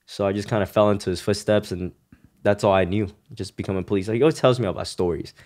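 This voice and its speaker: A man's voice: on the low side, fast talker, a little mellow. A man in his thirties who grew up in Hong Kong, a podcast guest telling his life story in loose, casual talk full of "you know" and "right?". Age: 30s.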